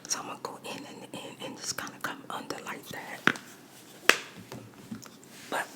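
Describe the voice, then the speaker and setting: A woman whispering throughout as she tells a personal story while doing her makeup. She repeats phrases for emphasis and talks straight to her viewers. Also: moderate pace. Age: adult mother. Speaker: makeup vlogger.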